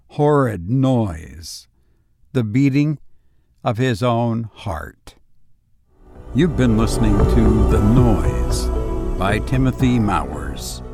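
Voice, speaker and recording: A man hosting and narrating horror stories in a dramatic, scripted style, with deliberate, suspenseful pauses. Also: medium pitch, gravelly, a little mellow, no echo, studio quality. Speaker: narrator and host of audio story show.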